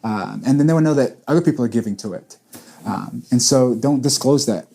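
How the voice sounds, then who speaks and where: A man's voice: medium pitch, lively intonation, brisk, vocal fry, dark tone. A man in his late twenties, a church planter and pastor, talking earnestly in a casual panel chat and repeating words as he thinks. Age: 20s.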